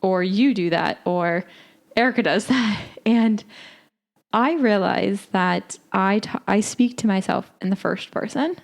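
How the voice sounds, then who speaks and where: Reflective woman with a gravelly voice. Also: mid-range voice. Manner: reflective, halting, many "like" fillers. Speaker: podcast co-host. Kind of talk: casual chat.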